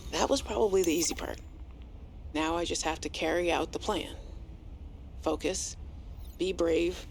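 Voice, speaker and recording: A woman playing an earnest, anxious but quietly defiant scientist in a video game audio log, hesitant and confiding. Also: low-pitched, a little hiss or hum.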